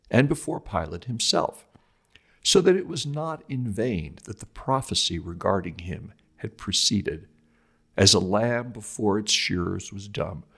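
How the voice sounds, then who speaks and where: A man with a lowish, gravelly, husky voice reads a religious commentary from a script. His phrasing is deliberate and oratorical, leaning firmly on key words like a preacher delivering an old sermon.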